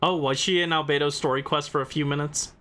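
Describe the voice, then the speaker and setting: A man's voice: a little high, steady tone, fast, sharp tone. A man giving casual, playful, theatrical reactions as he livestreams a game.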